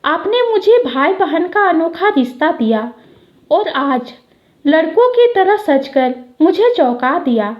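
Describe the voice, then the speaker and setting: A woman storyteller plays a devoted, hot-tempered young brother in an audio story. The voice is high and swings widely, emphatic and protective. The recording is a little dull. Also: big pitch swings, slow, heavily acted. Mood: tender, grateful.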